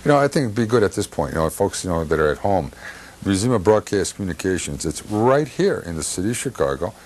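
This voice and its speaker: A man hosting a casual interview show, talking in a halting way and repeating words. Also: deep voice, big pitch swings, darkish tone. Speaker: TV show host. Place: television studio.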